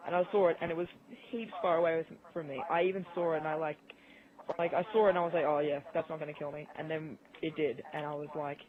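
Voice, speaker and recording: A man's voice: a little high, fairly even intonation, fast talker, phone-quality sound, crowd noise. A man in a casual gaming chat over an online voice call, with game audio behind him. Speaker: podcast guest. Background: video game audio.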